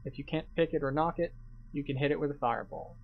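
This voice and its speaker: A man's voice: a little high, fairly even intonation, quick pace, phone-quality sound, a little hiss or hum. A man hosting a game tour, explaining each step in an instructional, lightly playful way. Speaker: host of a game playthrough guide. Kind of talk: guided game commentary, partly scripted. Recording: music playing behind the voice.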